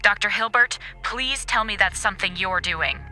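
An actress playing a strict, exasperated space station commander in an audio drama, bossy and impatient. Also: female voice, mid-range voice, moderate pace, music in the background, natural voice. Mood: urgent.

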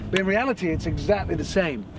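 An English man in his forties presenting a car show outdoors, excitable and self-mocking. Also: sits high, quick pace, background noise. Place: outdoors at a race track and on roads. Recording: theme music playing under speech.

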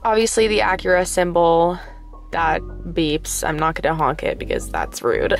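A woman's voice: fairly low pitch, animated, moderate pace, gravelly, soft background music. A young woman giving a casual, bubbly tour of her car, filming with a handheld phone while sitting in the parked car. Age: young adult. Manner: chatty, bubbly, giggly. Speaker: vlogger. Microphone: handheld phone camera.

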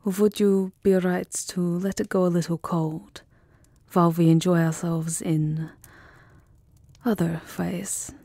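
Woman doing a tender vampire countess, asmr roleplay, dark mellow breathy voice, close and dry, wistful and whispery. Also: fairly low pitch, flat delivery, dry recording. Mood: seductive. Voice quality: soft, breathy, often dropping into a whisper. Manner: slow, tender, wistful, formal endearments. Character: tender, lovesick vampire countess in her gothic castle. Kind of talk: ASMR roleplay, soft intimate romantic acting. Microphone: close microphone, dry. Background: music.

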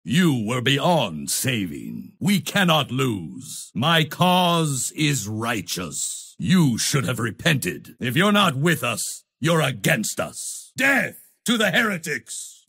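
A man playing a proud, stern zealot knight in a video game, with a raspy, gravelly voice and a pompous, solemn, imperious delivery. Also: mid-range voice, fairly bright tone, heavily acted. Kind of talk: video game character voice lines.

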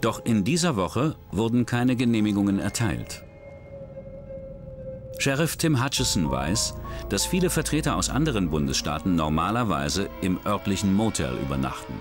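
A man giving scripted true-crime documentary narration in a measured, even way. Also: lowish voice. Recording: studio voice-over with music bed.

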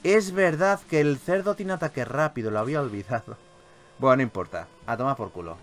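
A man with an accent from Spain doing excitable, theatrical game commentary, swearing freely and repeating his phrases. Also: mid-range voice, brisk. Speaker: gameplay video host.

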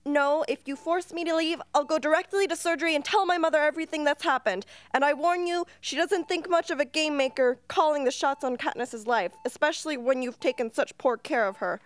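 A woman's voice: high-pitched, fairly quick, few pauses, natural voice. A woman acting in a radio play as an earnest, outspoken young rebel. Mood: defiant.

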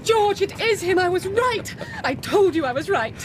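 Gushing admirer, very high voice, breathless and excitable Victorian wife, noisy radio-drama street. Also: female voice, moderate pace. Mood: starstruck.

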